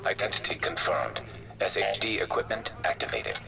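An actor playing an impassive game AI system, making clipped announcements. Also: male voice, on the low side, expressive, moderate pace, gravelly. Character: impassive male artificial intelligence system announcer. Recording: distant, noisy in-game system audio.

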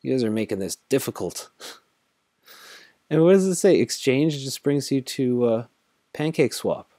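A man at his desk talking through a screen recording in a relaxed, rambling way, full of ums and half-finished thoughts. He sounds tired. His voice sits at an ordinary middle pitch, and the sound is close and dry. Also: moderate pace, no room sound. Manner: rambling, thinks aloud with many fillers. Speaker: crypto tutorial host. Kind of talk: casual screen-recorded walkthrough.

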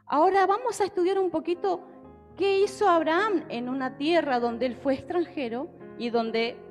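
A woman's voice: sits high, normal speed, soft background music. A young woman from Argentina with an Argentine accent, preaching a sermon on a handheld mic in a church hall and often checking in with her listeners. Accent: Argentine Spanish. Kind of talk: sermon with Bible reading. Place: church hall with a stage, indoors. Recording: long church hall reverb.

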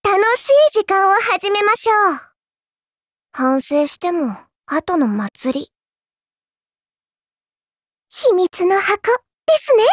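A woman's voice: very high voice, big pitch swings, slow pace, long pauses, no room sound, clean studio sound, heavily acted. A woman voicing a dainty, childlike little girl in a mobile game, polite and prim in speech with a teasing, cruel streak. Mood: polite, playful. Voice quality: childlike, cutesy. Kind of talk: Japanese mobile game character voice lines.

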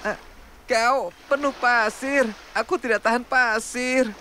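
A woman's voice: a little high, fairly even intonation, takes it slowly, bright, forceful tone, slight background noise. A woman voicing a fussy, timid talking road in a preschool cartoon, hesitant and fretful. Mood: anxious. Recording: music under the voice.

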